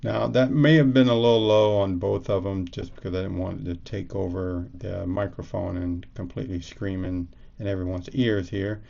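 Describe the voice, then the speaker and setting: A man's voice: sits low, fairly even intonation, normal speed, creaky, few pauses, dark tone, muffled recording. A man presenting a casual step-by-step tech tutorial as a screencast.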